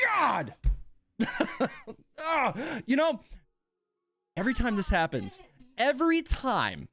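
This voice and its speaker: A man's voice: high voice, a bit bright, dry recording, studio quality. A man hosting a game livestream, chatting casually and grumbling in exasperation as he plays. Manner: exasperated grumbling with mild swearing and sighs. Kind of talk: casual livestream chat and reactions while playing.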